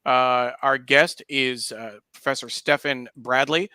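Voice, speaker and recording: A man with a bright, clear voice hosts an online conversation, recorded dry and close to the ear. He speaks conversationally, feeling his way through phrases with some hesitation. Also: medium pitch, steady tone, unhurried, no echo. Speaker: guest host of an online discussion show. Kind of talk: conversational interviewing.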